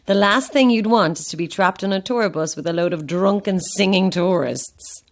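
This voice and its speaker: A woman's voice: medium pitch, moderate pace, close to an everyday voice. A woman with an Irish accent playing a curious, easygoing tourist in a naturalistic audio horror drama, conversational and thoughtful. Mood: wry chat. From Ireland.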